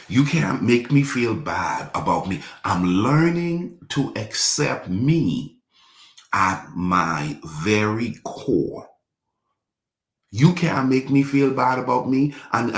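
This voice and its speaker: A man's voice: mid-range voice, echoey room. A man in his forties preaching a sermon, repeating his points and leading the congregation in affirmations. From Bahamas. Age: 40s. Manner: repetitive call-and-response preaching, leads congregation in affirmations. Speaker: pastor.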